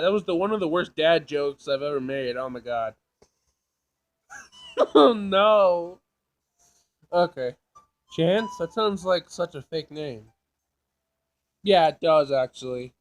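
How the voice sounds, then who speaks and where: A man doing casual playthrough commentary, reading game text aloud, jokey and thinking out loud as he repeats himself. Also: fairly high pitch. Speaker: gaming video host.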